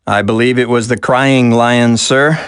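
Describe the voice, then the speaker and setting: A man acting as an earnest government aide in a video game. He reports politely and formally in a medium-pitched voice, with a dry, close sound. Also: steady tone, a little slow, smooth, dry recording, natural voice. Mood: earnest, deferential.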